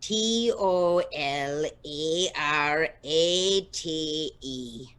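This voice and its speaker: A woman playing a sardonic, sharp-tongued older Irish wife with an Irish accent in an audio comedy drama. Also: low voice, extremely slow, smooth, exaggerated voice.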